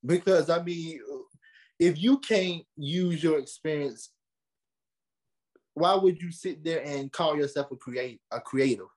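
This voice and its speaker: A man's voice: on the high side, unhurried, long pauses, a little mellow, rough recording. A man, answering interview questions conversationally. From South Carolina, USA. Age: late teens. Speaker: interview guest, podcaster and motivational speaker.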